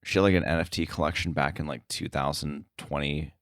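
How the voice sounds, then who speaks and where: A man speaking as an interview guest in casual chat, halting and informal, thinking his way through each phrase. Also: very deep voice, steady tone, dry recording, studio quality. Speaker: interview guest, sound editor.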